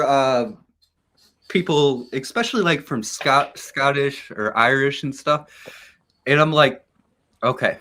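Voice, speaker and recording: A male host with a bright, slightly high voice, chatting loosely in an interview. He is excitable and swears freely, and laughs a lot. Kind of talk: casual interview chat.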